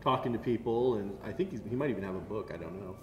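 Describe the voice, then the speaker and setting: A man's voice: fairly low pitch, quick pace, a little mellow, lo-fi sound. An American man in his forties hosting a casual panel chat, with a blunt, sarcastic, teasing way of talking. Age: 40s. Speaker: talk show host. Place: indoor cafe at a table. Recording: roomy echo, background noise.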